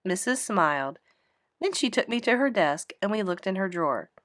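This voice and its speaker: A woman narrating a children's book aloud in an expressive read-aloud style. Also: mid-range voice, moderate pace. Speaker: storybook reader.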